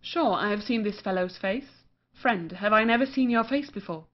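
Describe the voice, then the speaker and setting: A woman's voice: medium pitch, normal speed, close to an everyday voice. A woman playing a brazen, cunning mistress in an old stage comedy, saucy and theatrical. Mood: mocking. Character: brazen, cunning young mistress called Wanton. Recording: muffled lo-fi audio.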